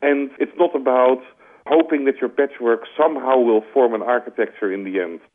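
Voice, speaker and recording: A Dutch-accented man, speaking at an ordinary mid pitch, talks through his ideas in a relaxed, conversational way, often dropping in "like" and reaching for homely comparisons. His voice comes through a thin, tinny phone line. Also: normal speed. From Netherlands. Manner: conversational, uses "like" as a filler, makes his points with vivid analogies. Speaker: interview guest, corporate strategy executive. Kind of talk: explanatory interview answers. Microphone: telephone.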